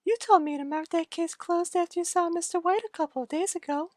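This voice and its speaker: A woman's voice: very high-pitched, a little fast, dry recording, clean studio sound. A woman playing a prim, obliging and curious doctor's assistant in a radio soap opera. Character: deferential, curious young female doctor's assistant.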